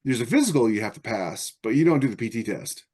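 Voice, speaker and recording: A man with a mid-range voice in casual discussion. He tells things anecdotally and with firm opinions, often halting and starting again as he goes. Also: mid-range voice, animated, darkish tone, slightly rough. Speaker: podcast panelist.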